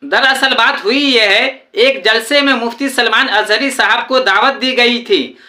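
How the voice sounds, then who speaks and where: A woman narrator with a fairly high, bright and forceful voice. She delivers scripted explanation as a video presenter. The recording has a clearly roomy echo. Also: unhurried.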